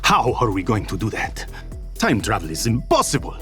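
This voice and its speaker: A man's voice: on the low side, huge pitch swings, normal speed, a bit bright, soft background music. A man playing a blunt, skeptical fairy-tale fighter who talks incredulously at a table read.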